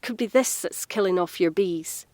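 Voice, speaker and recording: A woman's voice: lowish voice, moderate pace, darkish tone, dry recording, studio quality, natural voice. An actress playing a frank, caring working woman, explaining things earnestly in a naturalistic audio drama.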